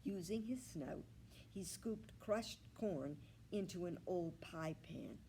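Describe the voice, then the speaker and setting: A woman narrates a children's book at a slow pace. Her voice is slightly low and creaky, with animated intonation and faint noise behind it. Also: poor audio. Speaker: library storytime reader. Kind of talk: expressive children's read-aloud narration.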